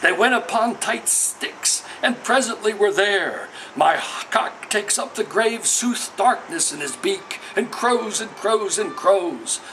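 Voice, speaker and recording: A man with a fairly high voice reads poetry aloud. He delivers it in a measured, declamatory way, like a formal literary recital. There is some faint background noise in the recording. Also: expressive, a bit bright. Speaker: poet reading own work. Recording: noisy ambience behind the voice.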